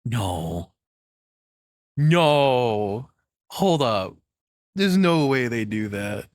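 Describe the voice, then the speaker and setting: A man's voice: mid-range voice, very animated, extremely slow, no room sound, studio quality. A young adult man doing casual reaction commentary as a content creator, rambling, sarcastic and freely swearing.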